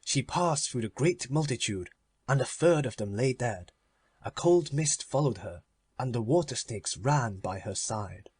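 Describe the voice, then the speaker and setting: A man reading a literary children's story as a measured audiobook narration. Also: medium pitch, expressive, darkish tone, husky, no echo.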